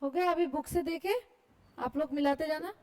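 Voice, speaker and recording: A woman's voice: high-pitched, normal speed, crowd noise. An Indian woman teaching an online class, dictating notes in mixed Hindi and English and often checking that students follow.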